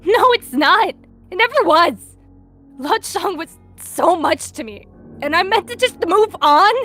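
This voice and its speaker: A woman voicing a stubborn, grieving young male apprentice cat who shouts and stammers, with faint music behind. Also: extremely high pitch, animated, measured pace, voice pushed up, exaggerated voice. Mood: anguished.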